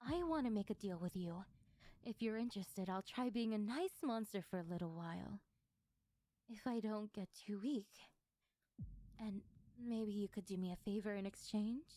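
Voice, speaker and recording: A woman voicing a lonely, needy female sleep paralysis demon in an intimate ASMR roleplay, hesitant and soft-spoken. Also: medium pitch, normal speed, no room sound, close to an everyday voice. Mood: tender, hopeful.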